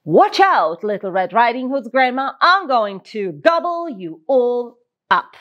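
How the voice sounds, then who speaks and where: A woman's voice: on the high side, big pitch swings, measured pace, a bit bright, lo-fi sound, voice pushed up. A woman voicing a sly, hungry storybook wolf with a silly, mock-sweet manner. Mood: greedy, menacing.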